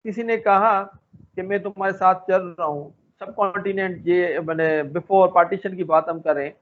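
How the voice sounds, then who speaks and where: A man with a Pakistani accent giving an informal, explanatory law lecture in a halting way, working through everyday examples. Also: high voice, steady tone, slight background noise. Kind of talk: informal explanatory lecture mixing in English terms. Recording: muffled, lo-fi phone-like sound.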